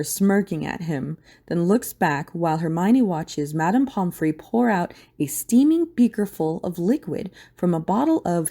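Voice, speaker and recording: A woman's voice: fairly low pitch, dark tone. A woman narrating a scripted audio drama in a dramatic storytelling style.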